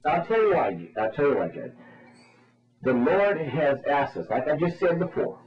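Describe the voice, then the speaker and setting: A man's voice: fairly high pitch, sing-song, normal speed. A man speaks as a radio ministry spokesman in a muffled, lo-fi phone interview. He preaches with fervour and insistence, circling back over his phrases. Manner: insistent, repetitive, religiously fervent.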